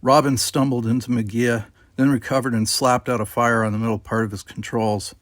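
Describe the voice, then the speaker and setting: A man's voice: mid-range voice, level tone, a little fast, dry recording, clean studio sound. A man reading dramatic first-person scripted narration as an audiobook narrator.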